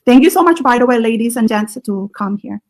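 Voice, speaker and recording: Female host, gravelly voice, halting delivery with lots of fillers, video call. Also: fairly high pitch, animated, darkish tone. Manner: halting, many fillers and restarts. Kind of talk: live online panel chat.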